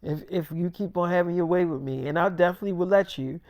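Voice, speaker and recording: A man's voice: high voice, quick pace, darkish tone, no room sound. A man voicing an affectionate young wife and new mother in a storytime, talking in a casual, intimate, teasing way.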